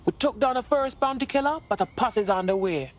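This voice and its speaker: Actress as a streetwise resistance woman, higher-pitched. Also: lively intonation, a little fast, lightly acted. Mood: blunt, businesslike. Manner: slangy, blunt, urgent. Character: streetwise, blunt female underground resistance operative. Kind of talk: video game cutscene acting.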